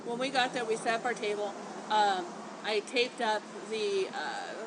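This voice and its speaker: A woman co-hosting a casual podcast, congested and stuffy from a cold, chatty and quick to laugh. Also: mid-range voice, lively intonation. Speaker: podcast co-host, groomer. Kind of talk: casual chat. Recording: noisy home recording.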